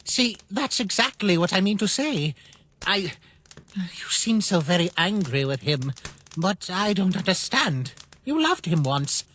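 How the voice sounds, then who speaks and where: A woman's voice: fairly low pitch, very animated, measured pace, fairly bright tone, slight background noise. A woman voicing a polite, innocent young male skeleton in a comic dub, earnest and a little formal. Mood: hesitant, troubled. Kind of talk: comic dub character acting. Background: music.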